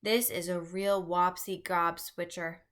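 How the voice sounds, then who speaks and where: Woman acting out gentle storybook giant man, bright voice, earnest, exasperated, emphatic, folksy muddled grammar. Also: fairly low pitch, little pitch movement, slow pace. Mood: exasperated, alarmed. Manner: emphatic, folksy ungrammatical speech with invented words. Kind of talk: read-aloud children's storybook.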